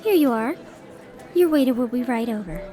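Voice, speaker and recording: A woman acting in an audio roleplay as a polite restaurant hostess with brisk, formal courtesy. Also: fairly high pitch, expressive, mellow, soft background music, natural voice.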